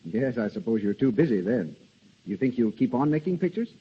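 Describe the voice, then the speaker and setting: A 1930s male radio announcer delivers scripted copy and interview questions. His voice is husky and lively in pitch, with long hall reverb. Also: a little fast, muffled recording.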